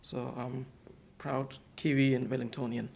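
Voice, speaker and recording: A man talks as a podcast guest in an office, at a middle pitch for a man. His voice has a gravelly, creaky edge. He speaks hesitantly and often repeats words and fills gaps while finding his phrasing. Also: little pitch movement, measured pace, a little mellow, a little hiss or hum. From Pakistan. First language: Urdu. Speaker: guest, center manager. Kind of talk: casual podcast interview.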